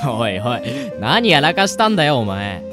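A man's voice: medium pitch, very animated, moderate pace, over music, lightly acted. A man acting as a blunt, probing young male friend with casual, direct speech in a Japanese audio drama. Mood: suspicious, concerned.

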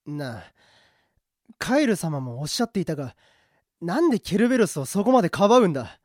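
Man as a gruff, animated guard, dry sound. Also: sits high, a little fast, no echo. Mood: gruff kindness. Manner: blunt, rough slangy talk. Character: gruff but kind-hearted rough-talking male prison guard. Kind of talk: amateur radio drama acting.

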